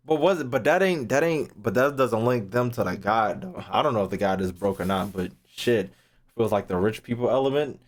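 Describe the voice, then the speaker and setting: A man's voice: fairly low pitch, lively intonation, a little fast. A man streaming casually, thinking aloud in a rambling way and swearing freely as he chats. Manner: rambling, foul-mouthed.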